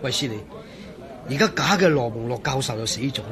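A man acting as a genial, easygoing engineer in a radio drama, speaking in a chummy, expressive way with a Hong Kong Cantonese accent. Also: mid-range voice, a little fast, lightly acted. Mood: earnest. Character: genial, easygoing male engineer and old friend.

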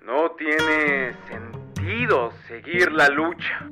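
An actor playing a grave, resolute revolutionary general declaiming in a radio drama. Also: male voice, fairly high pitch, very slow, over music. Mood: grave, defiant.

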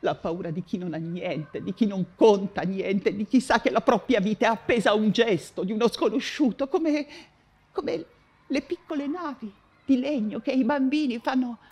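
An actress playing an imprisoned, nostalgic Russian exile writer in an intense, halting theatrical monologue, repeating words for emphasis. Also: female voice, fairly high pitch, a little mellow, slightly rough. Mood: fearful, sorrowful. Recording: music under the voice. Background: music.

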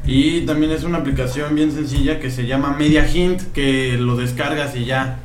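A man's voice: mid-range voice, steady tone, moderate pace, roomy sound, a little hiss or hum. A man with a Mexican accent co-hosting a podcast, joking around in casual chat and reading out listener comments. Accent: Mexican Spanish. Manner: laid-back, joking, reads out listener comments. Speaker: podcast co-host.

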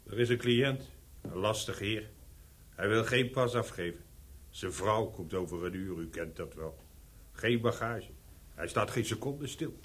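Low-voiced, obedient hotel servant. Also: male voice, animated, a little slow, a bit bright, breathy edge, full character voice. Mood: subdued, deferential. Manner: subdued, obedient. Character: deferential male hotel servant. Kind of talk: radio play acting.

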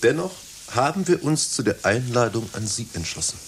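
An actor playing a flattering, earnest company promoter in a satirical radio play, fawning and persuasive. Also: male voice, medium pitch, normal speed, lightly acted. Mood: coaxing. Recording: hissy radio play recording.

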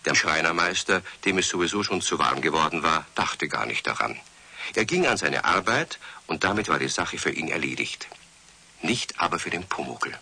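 A man playing the storyteller of a children's radio play, husky, narrating in a measured and gently wry way. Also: deep voice, animated, exaggerated voice. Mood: matter-of-fact storytelling.

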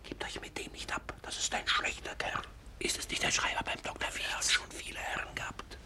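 An actor playing a gruff, worried, respectable guild carpenter in a theatrical 1940s radio play, blunt and grumbling. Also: male voice, fairly quick, whispered, close to an everyday voice. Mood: scornful. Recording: faintly noisy old radio recording.

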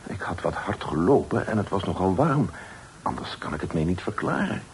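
An actor playing a wordy, deferential old gentleman poet in a radio play, hesitant and stammering, with florid turns of phrase. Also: very animated, normal speed. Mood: apologetic, flustered. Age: older. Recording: old radio play, faint hiss and rumble.

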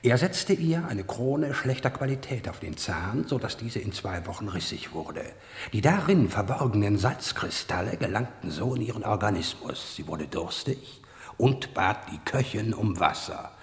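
An actor playing a pompous, solemn master detective with formal, portentous delivery in a parody crime radio play. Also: male voice, fairly low pitch, lively intonation, moderate pace, close to an everyday voice. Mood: solemn explaining. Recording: cavernous reverberant radio-play sound.

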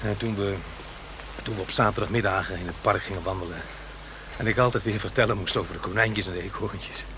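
A man acting a middle-aged divorced father in a radio drama. His voice is on the low side, earnest and halting, questioning himself and reproaching others as he gropes for contact. Clear noise runs behind the recording. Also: close to an everyday voice. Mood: wistful, pleading. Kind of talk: Dutch radio play acting.

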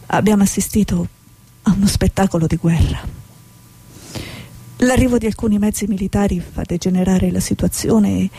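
A woman's voice: medium pitch, vocal fry, mellow, slightly rough. A woman playing a stubborn, outspoken war correspondent in a stage drama, speaking in an earnest, insistent way. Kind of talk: stage drama acting with dramatic reportage monologue.

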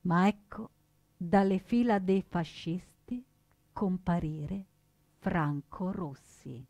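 A woman delivering solemn, emphatic scripted narration for radio theatre, with deliberate dramatic phrasing. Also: fairly low pitch, warm tone, no echo. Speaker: actress and narrator.

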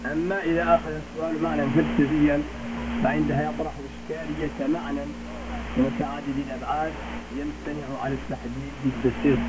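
A man giving a formal, didactic scripted radio lecture, posing rhetorical questions, heard over shortwave radio. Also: on the high side. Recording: noisy crackly shortwave radio broadcast.

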